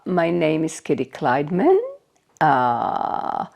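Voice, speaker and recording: An older woman from Slovakia giving a short dedication at a remembrance ceremony, word by word with long pauses. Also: low-pitched, gravelly, a little mellow. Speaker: candle lighter at a remembrance ceremony.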